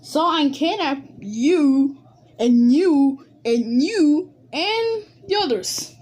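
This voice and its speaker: A woman improvising dialogue for squabbling cartoon alphabet letters in an amateur animated skit, with halting, repetitive phrasing. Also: high-pitched.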